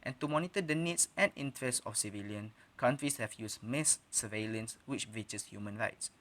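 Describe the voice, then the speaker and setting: A man's voice: fairly low pitch, normal speed, fairly bright tone. Young man delivering a formal debate speech. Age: young adult. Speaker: student debate speaker.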